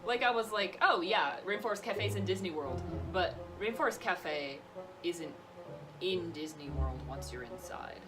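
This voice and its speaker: A young woman tells stories in a casual vlog style, her pitch moving in lively swings. She is playful and mock-serious as she recaps details, with music clearly playing behind her. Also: unhurried, rough recording. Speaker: vlogger telling personal stories. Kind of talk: casual chatty storytelling with a friend.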